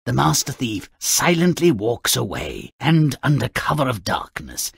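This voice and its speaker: A man voicing a gruff, mischievous gnomish storybook narrator for a video game, with a raspy, gravelly voice and a sardonic, pompous manner. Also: medium pitch, no echo, clean studio sound, heavily acted. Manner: sardonic, pompous, alliterative, relishing each word.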